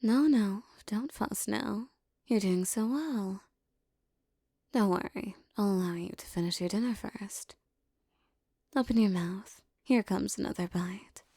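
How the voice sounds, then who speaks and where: A woman playing a regal, possessive empress who coaxes and teases a captive servant in soft, condescending tones, often near a whisper, in an intimate roleplay audio. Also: medium pitch, measured pace, slightly rough, dry recording, clean studio sound. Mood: doting, coaxing.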